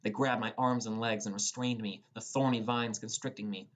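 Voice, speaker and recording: A man's voice: lowish voice, fairly even intonation, normal speed, a bit bright. A young man reading scripted first-person fantasy narration as a dramatic storyteller. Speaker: audiobook narrator.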